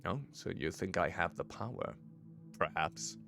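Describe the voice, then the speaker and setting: A man streaming a story game as a VTuber, chatting with his viewers in a playful, teasing way with a deep voice. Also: unhurried, gravelly. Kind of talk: casual gameplay commentary.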